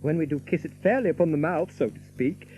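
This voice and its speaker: An actor playing a genteel, wry young outlaw with a refined manner, speaking archaic English in a radio drama. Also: male voice, fairly high pitch, brisk, mellow, a little hiss or hum, natural voice, poor audio. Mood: wry musing.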